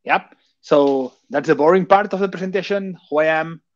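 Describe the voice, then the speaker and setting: A man's voice: fairly high pitch, moderate pace, no echo. Catalan-accented man presenting over a call. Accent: Catalan Spanish. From Barcelona, Catalonia. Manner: hesitant, many fillers, asks rhetorical questions. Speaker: conference speaker. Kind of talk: technical presentation. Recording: dull, dry lo-fi call audio.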